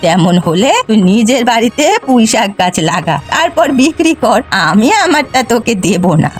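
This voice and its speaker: A woman's voice: on the high side, expressive, normal speed, faint music behind, natural voice. A woman voicing a poor old village woman in a cartoon, plaintive and stubborn. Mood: indignant, refusing. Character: poor, kind old village woman, protective of her garden. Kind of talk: Bengali cartoon dialogue.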